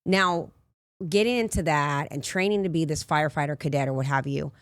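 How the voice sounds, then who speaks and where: A raspy woman in her forties, a life coach telling candid personal stories in a casual interview. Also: low voice, a little fast, no echo. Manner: candid, rambling storyteller with frequent asides. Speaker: life coach, podcast guest.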